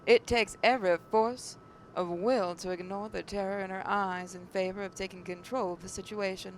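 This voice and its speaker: A woman reads story narration aloud in a dramatic style at a middling pitch, sometimes tripping over her words. There is a noticeable low rumble of noise behind her. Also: steady tone, normal speed, rough recording. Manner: stumbles over words while reading. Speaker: gaming channel narrator reading a visual novel aloud.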